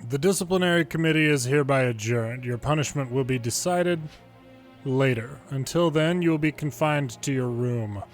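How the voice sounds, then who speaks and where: An actor playing a stern academy authority in a video game, speaking formally and severely. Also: male voice, mid-range voice, moderate pace, soft background music, natural voice. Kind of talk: dramatic video game voice acting.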